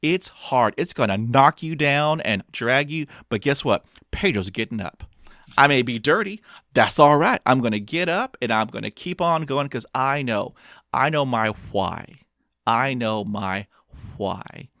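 A man's voice: medium pitch, lively intonation, measured pace, fairly bright tone, no room sound, studio quality. A man in his fifties, a guest in an interview, who stutters with repeated sounds and speaks in an upbeat, motivational way. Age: 50s. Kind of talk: interview conversation.

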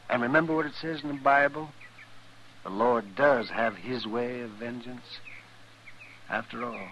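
Sharp-edged, grave frontier doctor. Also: male voice, mid-range voice, unhurried, poor audio. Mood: bitter, accusing. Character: weary, bitter frontier town doctor, older man. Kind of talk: 1950s radio western acting. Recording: old radio recording with faint noise.

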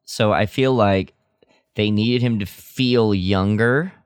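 A man's voice: fairly low pitch, slow, clean tone, no echo, clean studio sound. A man co-hosting a podcast, casually discussing films and thinking aloud as he gives his opinions. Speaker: podcast co-host. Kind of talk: casual film discussion chat.

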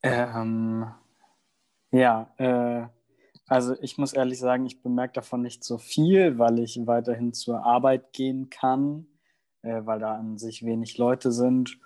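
Mellow young man from Germany. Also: medium pitch, unhurried. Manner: relaxed, with many fillers. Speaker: guest. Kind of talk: casual interview answers.

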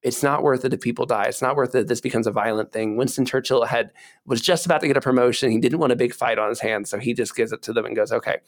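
A man's voice: mid-range voice, quick pace. A man co-hosting a podcast, telling history casually and acting out imagined dialogue in everyday words. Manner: informal, colloquial, acts out imagined dialogue. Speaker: podcast co-host.

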